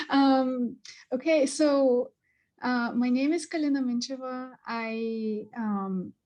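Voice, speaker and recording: A woman from Bulgaria, a mathematics professor telling her story on a panel, halting with many pauses and fillers. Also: sits high, a little mellow. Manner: halting, with many ums and uhs.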